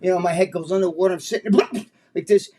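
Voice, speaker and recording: Older New Jersey man, podcast co-host, foul-mouthed animated storyteller with a slightly higher voice. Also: fairly quick. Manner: animated, profane, acts out quotes in a high voice. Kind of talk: casual storytelling chat.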